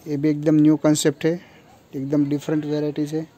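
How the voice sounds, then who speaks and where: A man's voice: fairly high pitch, monotone, brisk, mellow. A young man from India in a clothing store, listing garment features in a plain, matter-of-fact showcase, recorded on a handheld phone. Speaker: kurti factory seller. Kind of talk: product showcase walkthrough. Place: clothing store with fabric shelves.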